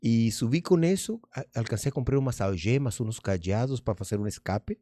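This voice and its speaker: A man's voice: mid-range voice, lively intonation, brisk, mellow, no room sound, clean studio sound. A middle-aged man with a Spanish accent, a native Spanish speaker from Argentina, telling stories as a magician and comedian in a casual interview, rambling and restarting, slipping in Spanish words.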